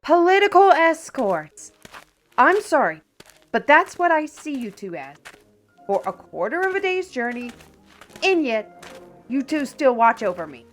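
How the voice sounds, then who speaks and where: Casting: actress for feisty female artist, fantasy roleplay audio, unhurried and expressive, proud and easily indignant, faint music behind. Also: sits high. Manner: theatrical, expressive, exclamatory.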